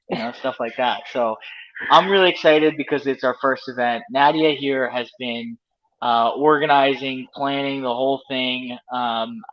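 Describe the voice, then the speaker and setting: A man leading the company behind the event, talking enthusiastically and repeating words while he thinks, as a guest on a video call. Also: mid-range voice. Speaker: company leader, event co-host. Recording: dull lo-fi audio.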